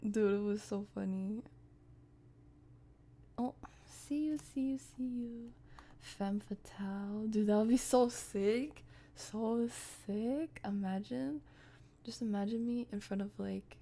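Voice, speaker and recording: A woman's voice: medium pitch, mellow. A tired woman streamer chatting casually in a playful, rambling, daydreamy way. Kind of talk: casual chat.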